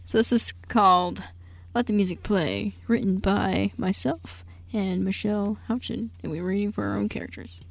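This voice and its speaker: A woman's voice: mid-range voice, moderate pace, creaky. A young woman co-hosting a radio show in a casual, giggly, informal way. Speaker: radio show host and script writer. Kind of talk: casual chat. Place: radio studio.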